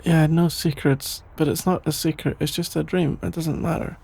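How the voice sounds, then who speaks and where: Man acting a creaky-voiced, shy, flustered best friend. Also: fairly high pitch, fairly even intonation, normal speed, a little mellow. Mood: sleepy, evasive. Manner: stammering, evasive, hesitant. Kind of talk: ASMR roleplay.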